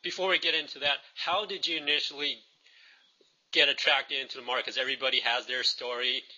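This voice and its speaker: Bright-voiced man hosting an interview. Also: medium pitch, steady tone, a little fast, rough recording. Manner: easygoing, chatty questions. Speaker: interviewer, host. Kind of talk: friendly interview.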